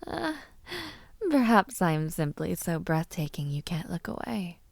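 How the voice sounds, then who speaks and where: A woman playing a haughty, mocking young villainess princess in an audio roleplay, condescending and teasing, often dropping to a breathy murmur. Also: fairly low pitch, sing-song, measured pace, no room sound, full character voice. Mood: haughty, disdainful. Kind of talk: audio roleplay acting, addressing the listener.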